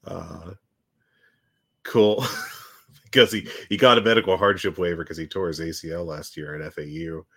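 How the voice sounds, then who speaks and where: A man co-hosting a podcast with a husky voice as he gets over a cold, rambling and joking with lots of ums and uhs. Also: sits low, fairly even intonation, moderate pace, pauses often, a bit bright. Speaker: podcast co-host. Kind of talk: casual chat.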